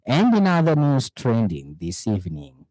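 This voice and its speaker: A man with a Zambian accent reading a scripted radio news bulletin in a formal, measured manner. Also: mid-range voice, huge pitch swings, heavy background noise. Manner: formal, measured newsreader delivery.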